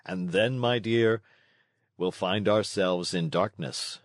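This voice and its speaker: A man voicing a weary, gentle old father with a measured, tender delivery in a storybook read-along. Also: sits low, dry recording, studio quality. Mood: resigned. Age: older.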